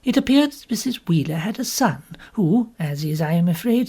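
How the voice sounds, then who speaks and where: Prim village spinster, played by a man, breathy husky voice, fussy measured storytelling, audiobook narration. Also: high-pitched, a little mellow. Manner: fussy, proper, measured asides. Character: prim, gossipy English village spinster telling her story. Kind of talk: first-person audiobook narration.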